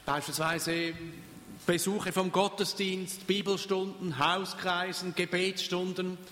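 An older man preaches in a high-pitched voice. He delivers his sermon in short phrases and pauses so an interpreter can follow. The long reverb of a church hall trails behind every line. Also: little pitch movement, bright, forceful tone. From Switzerland. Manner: speaks in short phrases, pausing for translation. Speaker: pastor.

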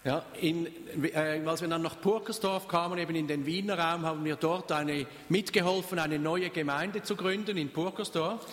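An older man from Switzerland, preaching in short phrases and pausing for an interpreter. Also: fairly high pitch, fairly even intonation, fairly bright tone, long reverb tail. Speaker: pastor. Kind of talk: sermon with consecutive interpreter.

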